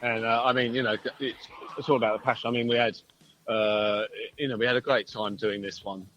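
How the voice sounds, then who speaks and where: A man's voice: mid-range voice, level tone, a little fast, fairly bright tone, lo-fi sound. A man, a musician being interviewed, talking casually in a hesitant, rambling way. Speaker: band musician, interview guest.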